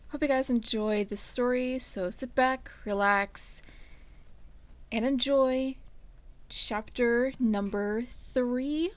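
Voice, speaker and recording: A woman's voice: on the high side, dry recording. A woman hosting and narrating a fan fiction reading in a casual, storytelling style. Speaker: fan fiction reader and channel host.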